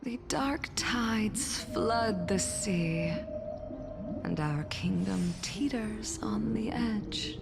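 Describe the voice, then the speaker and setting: A woman's voice: mid-range voice, animated, drawn-out words, creaky, exaggerated voice. A woman playing a grave subject of a doomed sea kingdom in a video game, solemn and dramatic. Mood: grave, fearful. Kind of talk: scripted video game cutscene. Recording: loud game music over the voice.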